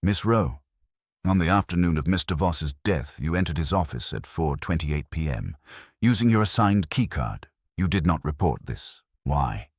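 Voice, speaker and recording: A man's voice: very deep voice, animated, normal speed, breathy edge, no echo, clean studio sound, heavily acted. A man voicing a commanding, coldly logical detective in an audiobook, formal and incisive as he confronts suspects. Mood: stern, probing. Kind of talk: audiobook detective story dialogue.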